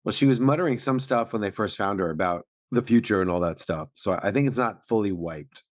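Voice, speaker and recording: A man's voice: on the low side, fairly quick, no echo, clean studio sound. A man co-hosting a podcast, informally explaining and debating a story, with casual swearing. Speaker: podcast co-host. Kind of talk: casual recap and discussion of a story.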